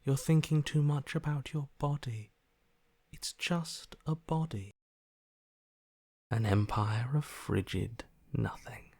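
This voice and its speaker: An actor playing a detached, emotionless inner voice in a video game, dryly sardonic in manner. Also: male voice, on the high side, darkish tone, dry recording, clean studio sound. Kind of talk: scripted game voice acting.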